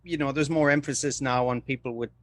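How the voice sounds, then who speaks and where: A man's voice: medium pitch, fairly even intonation, fairly bright tone. A man hosting an interview, asking questions with hesitant uhs between phrases. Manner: hesitant, fills pauses with uh. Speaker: podcast host, interviewer.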